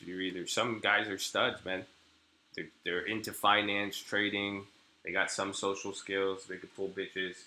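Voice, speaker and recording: A young man from California rambles through a casual live stream, full of crude slang and half-finished sentences. His voice sits very low but sounds very bright and sharp. The sound carries a roomy echo. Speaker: day trader streaming live. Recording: echoey room.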